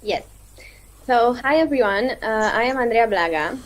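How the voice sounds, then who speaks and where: A woman's voice: on the high side, expressive, moderate pace, poor audio. A woman, a PhD student, speaking fluently on a video-call panel. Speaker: PhD student and tech lead. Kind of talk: panel self-introduction and story.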